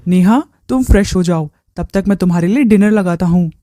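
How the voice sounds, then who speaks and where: A woman's voice: fairly low pitch, brisk, dark tone, dry recording, clean studio sound, close to an everyday voice. Sly lecherous brother-in-law played by a woman. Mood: agreeable. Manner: coaxing, sly. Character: lecherous, smooth-talking married man, a brother-in-law.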